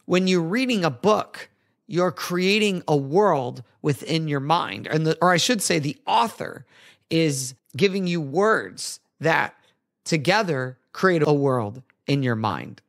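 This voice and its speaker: A man hosting his own podcast, talking solo in an earnest, chatty way with a hoarse, raspy voice from losing it. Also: a little high, lively intonation, slow pace. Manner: earnest, chatty, self-correcting. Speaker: podcast host.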